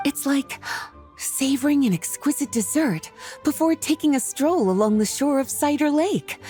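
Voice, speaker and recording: A woman's voice: fairly high pitch, darkish tone. A woman voicing a worldly, self-assured and playful lady in a video game, articulate, teasing and affectionate. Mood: pleased, savoring. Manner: teasing, flirtatious, articulate, savoring her words. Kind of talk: scripted video game dialogue.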